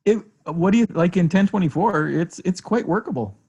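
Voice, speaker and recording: A man from Alberta, Canada, chatting casually and joking on a video call about the software he builds. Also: high-pitched, a little fast, husky, muffled recording. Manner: relaxed, joking.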